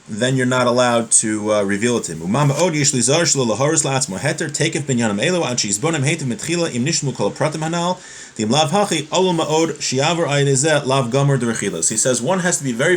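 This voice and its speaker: A man with a mid-range voice teaches a class on religious law. He works through a text and explains it didactically, often restating and rephrasing his points. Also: medium pitch. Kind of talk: explanatory text study, reading passages aloud then explaining.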